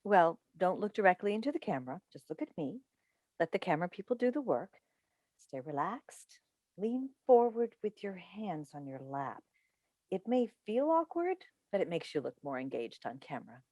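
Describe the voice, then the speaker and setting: Actress as an expressive, composed TV host mother. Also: fairly low pitch, normal speed, dry recording. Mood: brisk, instructive. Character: seasoned female TV talk show host and mother, composed, placating. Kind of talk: staged play reading. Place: indoors on a Zoom call. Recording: dry video call recording.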